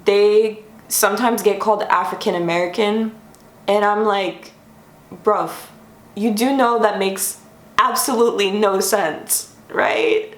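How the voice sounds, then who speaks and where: A woman's voice: medium pitch, slow, roomy sound. Chatty young woman vlogging from her room. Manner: chatty, friendly, thinks aloud and corrects herself. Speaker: YouTube host. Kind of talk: casual explainer vlog. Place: her room, sitting on the floor.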